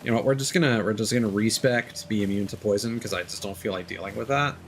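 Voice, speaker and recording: A man's voice: lowish voice, quick pace. A man streaming a game, rambling through live commentary and correcting himself often, with casual swearing. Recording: noisy background.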